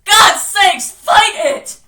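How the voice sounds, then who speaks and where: Woman acting a frantic, shouting male friend. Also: very high-pitched, extremely slow, echoey room, distant mic, slight background noise, pitched above the performer's own voice, heavily acted. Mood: urgent. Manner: screaming, urgent.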